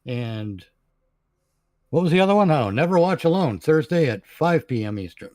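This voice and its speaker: A man chats on a podcast panel in a dry, wisecracking way. His voice sits somewhat high, and the sound is close with no room echo. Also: sing-song, normal speed, darkish tone. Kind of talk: casual chat.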